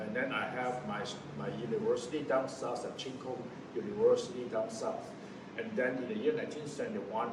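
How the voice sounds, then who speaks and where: A man in his seventies from Malaysia, giving a personal testimony in a halting way, often restarting and correcting himself. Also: medium pitch. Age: 70s. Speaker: interviewee recounting his past. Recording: roomy echo from a distant mic, noisy background.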